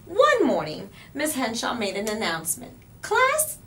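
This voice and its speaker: Slow, very animated woman storyteller. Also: a little high, a little hiss or hum. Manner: dramatic pauses and emphasis. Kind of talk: expressive children's read-aloud narration.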